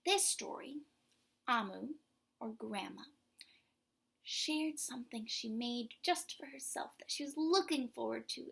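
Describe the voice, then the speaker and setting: High, breathy woman reading to children. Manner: expressive, emphatic, explaining simply. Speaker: children's story reader and host. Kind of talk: animated read-aloud for young children.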